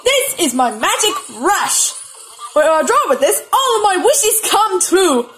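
A very high, childish little monster prince, bratty and indignant. He speaks slowly in defiant outbursts, as in a video game cutscene, with music behind him from a laptop speaker. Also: sing-song, a bit bright, exaggerated voice. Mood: boastful.

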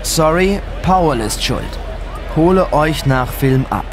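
A man's voice: fairly high pitch, expressive, unhurried, over music. An actor playing a man who is the detective's casual, dryly teasing friend in a German audio drama. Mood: relaxed.

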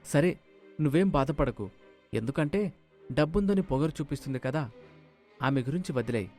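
A man's voice: fairly high pitch, animated, moderate pace, darkish tone, soft background music. A man voicing a supportive, practical middle-class husband in a moral story, speaking reassuringly.

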